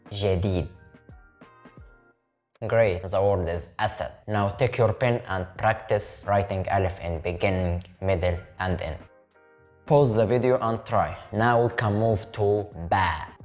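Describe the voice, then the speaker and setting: Deep slow-spoken male Arabic teacher. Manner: repeats words slowly for the viewer to copy. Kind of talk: slow step-by-step language lesson.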